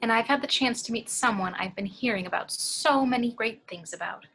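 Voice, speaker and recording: A woman playing a gentle, persuasive, kind woman with a husky voice in an amateur table read. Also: fairly high pitch, fairly quick, poor audio. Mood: pleading. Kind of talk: amateur at-home table read of a film script.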